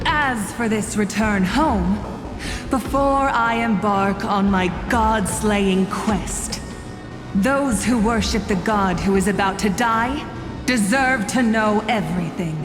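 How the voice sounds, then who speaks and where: A woman playing a proud, resolute exiled warrior in a video game, speaking in a formal, measured, declamatory way. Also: medium pitch, lightly acted. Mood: solemn, defiant. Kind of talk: scripted dramatic video game acting. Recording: echoey hall with long reverb, loud music.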